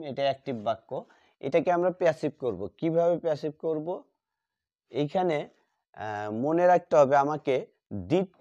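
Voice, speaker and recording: A man teaching a grammar lesson, repeating key words slowly as he writes them out. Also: on the high side, dark tone. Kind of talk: classroom lesson at a board. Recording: dull lo-fi sound.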